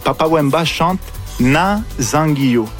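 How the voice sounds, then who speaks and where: A man's voice: fairly high pitch, slow, faint music behind. A man hosting a radio show, introducing a guest and interviewing conversationally.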